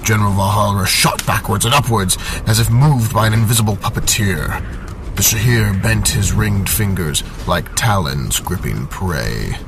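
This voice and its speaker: A man narrating a dramatic fantasy story from a script in a deliberate, theatrical manner. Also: fairly low pitch, noisy background. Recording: very loud music under the voice. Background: cinematic soundtrack music.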